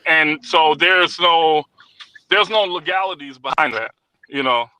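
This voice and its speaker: Very bright-voiced man, animated and chatty. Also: a little high, a little slow, smooth, no echo, poor audio. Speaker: guest, popcorn shop owner. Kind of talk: casual interview chat.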